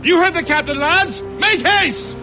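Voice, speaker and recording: An actor playing a brash, hearty ship's first mate, a man shouting to sailors in a video game. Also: very high voice, a little slow, music in the background. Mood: rousing, urgent. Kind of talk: video game dramatic acting.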